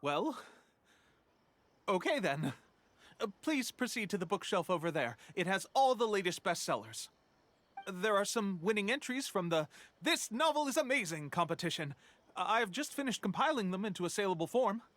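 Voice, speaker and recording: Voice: medium pitch, sing-song, moderate pace, fairly bright tone. A stammering, nervous editor. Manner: stammering, anxious. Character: nervous young male editor, flustered. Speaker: voice actor.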